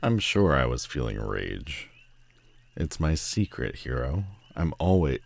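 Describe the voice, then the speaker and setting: An extremely deep-voiced man acting as a telepathic villain in an audio roleplay. He is proud and sardonic, with a haughty, theatrical delivery that leans hard on his words. A lonely streak sits under the arrogance. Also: sing-song, creaky, heavily acted. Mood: haughty, defensive. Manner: haughty, dramatic, drawn-out emphatic phrasing.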